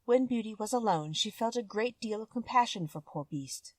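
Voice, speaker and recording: A woman's voice: a little high, expressive, moderate pace, dry recording. A woman reading a classic fairy tale aloud as scripted storybook narration.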